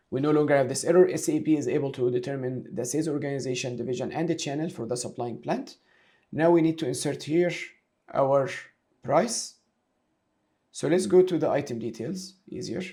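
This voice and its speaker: A man giving a step-by-step software tutorial, explaining each step methodically in a didactic way. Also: mid-range voice. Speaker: software instructor. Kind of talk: step-by-step tutorial narration of a screen demonstration.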